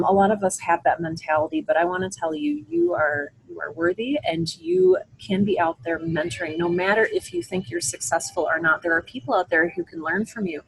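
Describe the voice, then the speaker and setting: A woman with a slightly low voice speaks as a guest in an interview. Her delivery is earnest and emphatic, with a motivational bent, and she restates key phrases to drive them home. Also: slight background noise, poor audio. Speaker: interview guest, podcaster and mentor.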